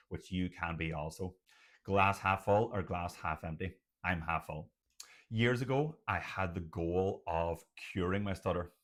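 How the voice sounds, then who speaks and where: A middle-aged man who has a stutter, speaking earnestly and emphatically to viewers in short, measured phrases, with a motivational tone. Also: deep voice. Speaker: doctor sharing personal experience of stuttering. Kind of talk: motivational personal talk to viewers.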